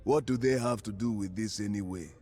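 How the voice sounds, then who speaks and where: A man's voice: fairly low pitch, brisk, dark tone, lightly acted. A man voicing a shrewd, stern commander in a fantasy game, with a formal, authoritative manner. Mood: dry, measured. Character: stern, shrewd male commander of a threatened city.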